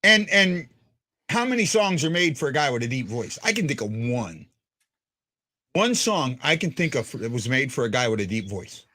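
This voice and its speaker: Deep-voiced man rambling through casual chat. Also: big pitch swings, normal speed, pushed and bright. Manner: rambling, stumbling restarts. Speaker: podcast host.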